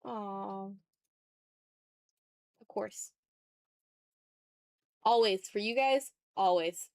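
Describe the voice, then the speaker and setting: A woman's voice: mid-range voice, drawn-out words, a little mellow, no echo. A woman streamer talking casually and informally to her chat while she draws, in a rambling, off-the-cuff way. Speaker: art streamer and ASMR YouTuber hosting a livestream.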